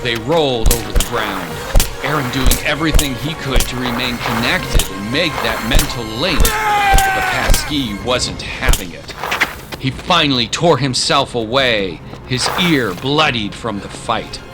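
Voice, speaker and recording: A man narrates a scripted adventure drama in a lively, widely varying voice that rises with the action. Music runs clearly behind him. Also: medium pitch, a little slow.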